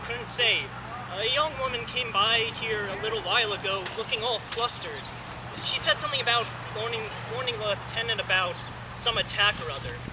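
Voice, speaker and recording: A man's voice: sits high, a little fast, lightly acted. A man playing a brusque, self-important military man in an outdoor historical play, declaiming curtly. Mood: brusque, dismissive. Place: outdoors in a park. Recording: distant mic, clear outdoor noise.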